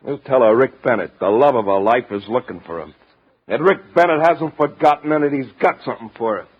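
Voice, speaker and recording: An American actor playing a moody, sardonic, lovesick sketch artist with an obsessive streak, clipped in delivery, in a 1950s radio drama. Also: male voice, medium pitch, lively intonation, a little fast, lightly acted. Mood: intense, obsessive. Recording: dull, muffled vintage radio recording.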